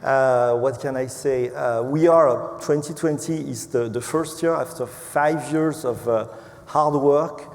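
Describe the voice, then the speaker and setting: A man from France with a French accent speaking as an executive on a panel, halting and hesitant, often repeating words. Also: medium pitch. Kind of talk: unscripted panel remarks. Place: large event hall. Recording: echoey hall with clear background noise.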